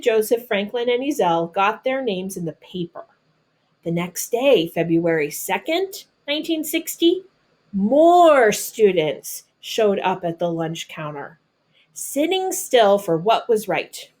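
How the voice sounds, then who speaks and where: A woman with an American accent reads a picture book aloud like a teacher, pausing to explain things simply and patiently to children. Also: mid-range voice, a little mellow. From North Carolina, United States.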